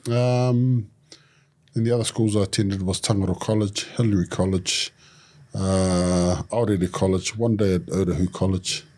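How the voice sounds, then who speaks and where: Samoan-born man with a low baritone. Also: moderate pace. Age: 40s. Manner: relaxed, joking, with fillers and restarts. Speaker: podcast guest. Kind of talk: casual interview.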